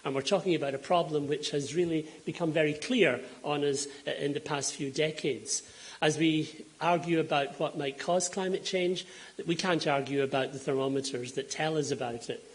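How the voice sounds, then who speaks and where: A man with a Scottish accent that carries Donegal roots speaks in a slightly higher register as he lectures a hall full of people. He explains graphs in a measured way and pauses often on "um" and "uh" to correct himself. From Scotland. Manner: measured and explanatory, with frequent ums and self-corrections. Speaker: climate scientist giving a lecture. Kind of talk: public lecture with slides. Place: lecture hall before an audience.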